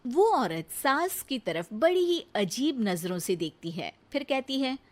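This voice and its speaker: A woman's voice: sits high, big pitch swings, a little slow. A woman narrating a scripted moral story for children as its storyteller.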